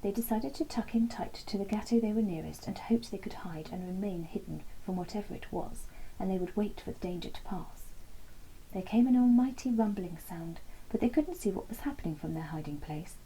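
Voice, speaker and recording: A woman reading a children's bedtime story aloud in an expressive, deliberate storytelling manner, with a soft, breathy voice. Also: medium pitch, a little hiss or hum, rough recording. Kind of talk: scripted read-aloud story narration.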